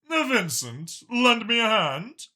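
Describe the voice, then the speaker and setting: A man's voice: high voice, very animated, slow, pushed and bright, voice pushed up, exaggerated voice. A man voicing a confident, grandiose male dragon leader in an audiobook, genteel and pompous.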